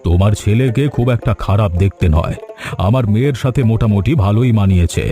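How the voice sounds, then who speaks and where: Stern, mellow-voiced wealthy merchant father played by a man. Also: fairly low pitch, fast, faint music behind, lightly acted. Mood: self-assured. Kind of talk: Bengali cartoon story acting.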